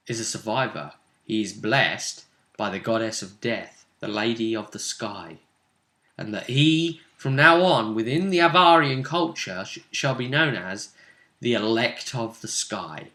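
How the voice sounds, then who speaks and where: Man, game master, bright forward voice, mid pitch, chatty, roomy echo. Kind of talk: casual tabletop role-playing game talk. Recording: echoey room.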